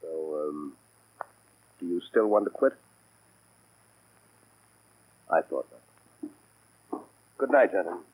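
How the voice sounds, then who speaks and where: An actor playing a cold, sadistic pirate submarine commander in an old radio drama, clipped and menacingly polite, relishing cruelty. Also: male voice, low-pitched, animated, slow, darkish tone, heavily acted. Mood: cold menace. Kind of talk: 1940s radio drama acting. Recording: noisy old radio recording.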